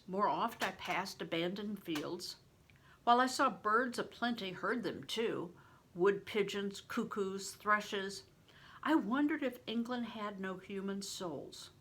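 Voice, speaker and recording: Older woman, expressive novel read-aloud, unhurried pace, husky tone, deliberate dramatic phrasing. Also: mid-range voice. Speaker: reader, retired reading teacher.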